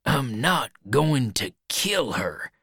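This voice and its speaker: A reader voicing a vengeful male outlaw in a storybook, speaking quietly with dark, threatening menace. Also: sing-song, takes it slowly, slightly rough, dry recording, exaggerated voice. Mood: cold menace. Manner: low, hushed, dark menace.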